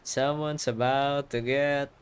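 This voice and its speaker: A man's voice: medium pitch, steady tone, takes it slowly. A man giving casual playthrough commentary on a video game, informal, joking and sweary. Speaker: gaming video creator.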